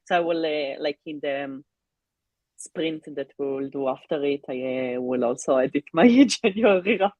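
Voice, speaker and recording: Woman w/ low husky voice, non-native english, chatting about books on a stream, stops n starts, close dry sound. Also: moderate pace, a little mellow, no echo, highs cut off. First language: not English. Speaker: co-host of a livestreamed reading sprint. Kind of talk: casual unscripted livestream chat about books.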